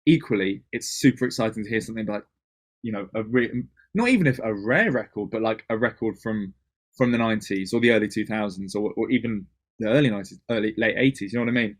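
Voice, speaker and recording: A man from Wales, a DJ and producer, chatting as a guest in an interview, talking in quick bursts with many restarts. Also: on the low side. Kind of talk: casual interview chat.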